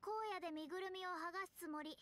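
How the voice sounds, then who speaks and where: A woman playing a proud, polite young astrologer with a prim, sharp tongue, in Japanese anime game style. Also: very high voice, steady tone, quick pace. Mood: cold, accusing. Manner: polite, precise, haughty.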